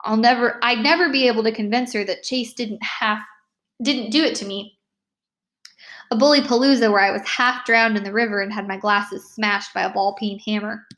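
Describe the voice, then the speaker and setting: A woman reading a children's novel aloud as an expressive first-person storyteller. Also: on the high side, normal speed. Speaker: read-aloud narrator.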